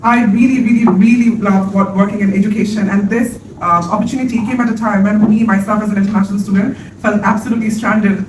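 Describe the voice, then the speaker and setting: A woman from Bangladesh, an election candidate giving a nervous, rushed campaign speech and correcting herself as she goes. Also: mid-range voice, fairly even intonation, darkish tone. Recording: echoey room, far mic, background noise.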